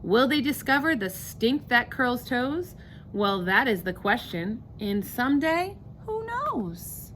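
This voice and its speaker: A woman reading a children's picture book aloud with expressive, emphatic, rhythmic delivery of rhyming verse. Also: a little high, slow, a little hiss or hum.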